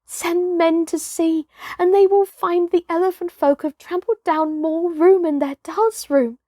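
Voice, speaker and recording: A woman voicing an exhausted but excitable young boy elephant-driver in a storybook, earnest, proud and breathlessly emphatic. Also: extremely high pitch, no echo, studio quality, pitched above the performer's own voice.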